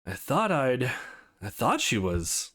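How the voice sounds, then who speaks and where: A man's voice: mid-range voice, wildly swooping pitch, slow pace, bright, forceful tone, heavily acted. A man voicing an anxious, guarded young woman in a visual novel, emotive and easily exasperated. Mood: self-conscious.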